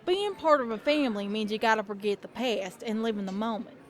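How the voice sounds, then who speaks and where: A woman's voice: a little high, fairly quick, close to an everyday voice. A woman voicing a plain-spoken, caring young farm pony, earnest and folksy, in a dramatic reading. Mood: reassuring. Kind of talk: dramatic reading of fan fiction.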